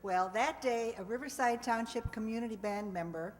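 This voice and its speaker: Higher-voiced woman hosting in a hall. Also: normal speed. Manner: chatty, addressing the audience. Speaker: host introducing a live radio play. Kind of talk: spoken introduction to a live audience. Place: live stage in a hall with audience. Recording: reverberant hall.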